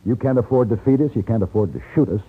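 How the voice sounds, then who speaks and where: A man's voice: fairly low pitch, very fast, breathy edge. An actor playing a shrewd, persuasive American gunfighter in an old radio western, assured and wry as he acts and narrates. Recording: tinny, noisy old radio recording.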